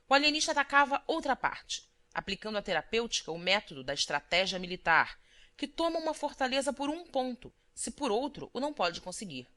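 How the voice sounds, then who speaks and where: A woman reading a literary audiobook as narrator, in a formal, expressive manner. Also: mid-range voice.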